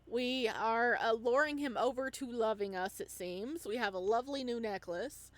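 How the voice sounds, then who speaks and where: A woman giving excited, casual commentary as she hosts a video game playthrough, gushing and full of exclamations. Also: a little high, normal speed, dry recording.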